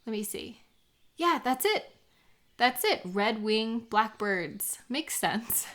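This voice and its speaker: A woman playing a supportive, affectionate best friend in a comfort audio roleplay, speaking gently and sincerely in an intimate, conversational way. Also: on the high side, expressive. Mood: casual, curious. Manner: gentle, sincere, encouraging, unhurried.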